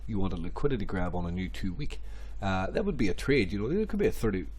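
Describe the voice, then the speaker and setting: Aussie guy, lowish voice, bit hoarse and stuffed up, rambling over charts with faint hum behind. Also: big pitch swings, quick pace, darkish tone. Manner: rambling, repeats phrases, many ums and restarts. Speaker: crypto chart analyst. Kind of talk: casual screen-share market commentary.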